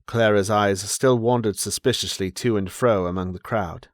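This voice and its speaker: A man narrating an audiobook as a measured, dramatic storyteller reading scripted literary prose. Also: fairly low pitch, dry recording, clean studio sound.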